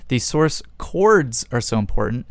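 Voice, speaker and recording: A man's voice: mid-range voice, sing-song, unhurried, creaky, no room sound. A man hosting a music production tutorial, explaining things step by step in a casual way.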